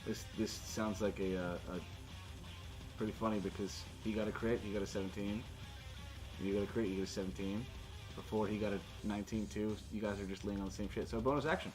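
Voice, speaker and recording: A man's voice: lowish voice, fairly even intonation, a little fast, rough recording. A man acting as game master, casually narrating tabletop fight scenes in informal, sweary language. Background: guitar music.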